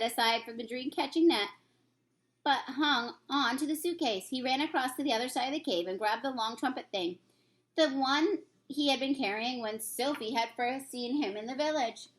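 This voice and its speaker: A woman's voice: high-pitched, moderate pace. A woman reading a children's storybook aloud as a teacher would, with occasional stumbles.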